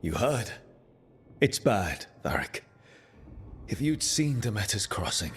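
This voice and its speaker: An actor playing an earnest young elven mage hero in a video game, speaking plainly with an inquiring manner. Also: male voice, on the low side, big pitch swings, takes it slowly, big-room sound. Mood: uneasy. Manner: plain-spoken, questioning, earnest. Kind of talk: dramatic video game dialogue.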